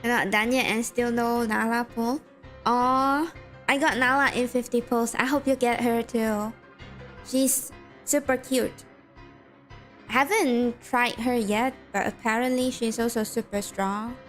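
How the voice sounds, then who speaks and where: A woman's voice: on the high side, steady tone, a little slow, faint music behind. A young woman with a Malaysian accent, streaming a game and chatting casually with her viewers while reading game text aloud.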